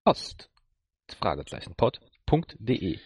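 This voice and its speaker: A man's voice: lowish voice, sing-song, measured pace, breathy edge, dry recording, studio quality. A man co-hosting a podcast, chatting casually with his partner. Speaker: podcast co-host. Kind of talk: casual banter with co-host.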